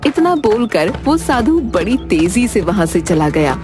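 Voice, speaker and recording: A woman narrates a scripted folk tale with highly animated intonation. Her voice is of middle pitch, and music plays clearly behind it. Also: unhurried. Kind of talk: scripted children's story narration.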